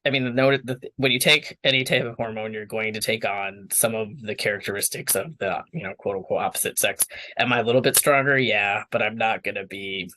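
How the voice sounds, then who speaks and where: A man's voice: on the low side, fairly even intonation, fairly quick, hardly any pauses, sharp tone, rough recording. A young man speaking candidly as an interview guest, rambling with many fillers and restarts. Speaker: interview guest, water professional.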